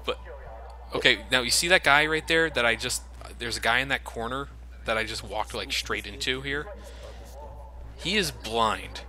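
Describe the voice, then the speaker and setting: A man's voice: medium pitch, normal speed, a crowd in the background. A man commentating over a video game, joking sarcastically and swearing freely. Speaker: let's play commentator. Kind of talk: casual gameplay commentary.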